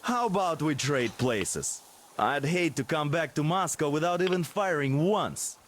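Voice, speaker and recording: Cocky young comrade, game drama, very lively high voice, wry complaining, noisy recording. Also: male voice. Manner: animated, joking complaint. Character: cocky, grumbling young male soldier comrade.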